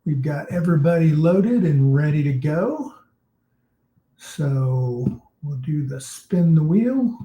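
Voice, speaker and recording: A man's voice: fairly high pitch, lively intonation, slow, dull audio. A man casually co-hosting an online meeting and running a prize drawing. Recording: roomy echo on online call.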